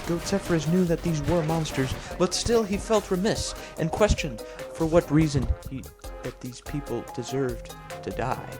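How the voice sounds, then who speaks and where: A man improvising a mock-storybook narration over gameplay in a mock-serious, deadpan way. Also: on the high side, moderate pace, hardly any pauses. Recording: music behind the voice. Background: clear background music.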